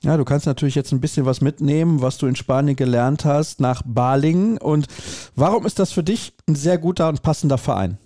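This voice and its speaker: A male podcast host with a mellow, warm tone and a dry, close sound. He talks in a conversational way, often doubling words for emphasis. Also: a little high, a little fast, hardly any pauses, dry recording. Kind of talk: interview questions and commentary.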